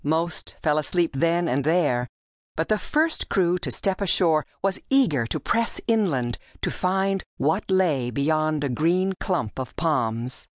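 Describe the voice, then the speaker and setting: A woman reads a literary tale as an audiobook narrator. Her voice sits a little low and she takes her time, phrasing each line deliberately. The studio sound is dry and close. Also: animated, dry recording. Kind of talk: scripted literary storytelling narration.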